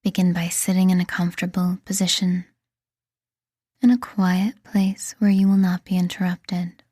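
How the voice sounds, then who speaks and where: A woman reading a scripted guided meditation in a soothing, deliberate manner, leaving pauses between phrases. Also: fairly low pitch, flat delivery, warm tone, slightly rough, dry recording, clean studio sound. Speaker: meditation guide and podcast host.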